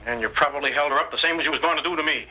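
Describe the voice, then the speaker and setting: A crusty old backwoods man in a Western radio play. His voice is piercingly sharp and rough with rasp, sitting fairly high, with a folksy rural Western American drawl and a suspicious, excitable manner. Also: very fast, poor audio. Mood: hostile, scornful. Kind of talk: old-time Western radio drama acting. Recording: thin old radio drama recording.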